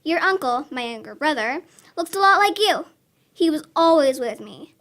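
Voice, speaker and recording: A kindly farm grandmother of the Depression era, acted by a young girl in a school radio drama. The voice is very high and bright, with an earnest storytelling manner. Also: expressive, normal speed. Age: child, about 10.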